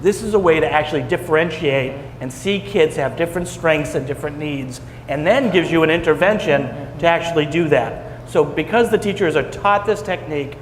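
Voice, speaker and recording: A man's voice: fairly high pitch, fairly quick, few pauses. A man speaking bluntly and emphatically on a panel about a teaching program. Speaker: education program leader. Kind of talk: panel discussion. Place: conference hall. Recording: echoey hall with faint noise.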